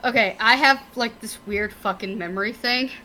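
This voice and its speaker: A woman with a fairly high voice chats casually as a podcast panelist, over faint background noise. She is slangy and sweary, peppering her talk with "like". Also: normal speed, sharp tone, poor audio. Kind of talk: casual chat.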